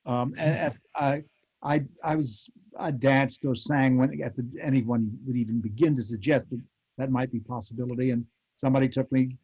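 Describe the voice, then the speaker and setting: An American man in his eighties, a former radio actor, reminiscing in a panel interview with halting, animated storytelling. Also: medium pitch, husky. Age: 80s. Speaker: former child radio actor, convention guest. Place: remote virtual convention call. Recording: muffled lo-fi call audio.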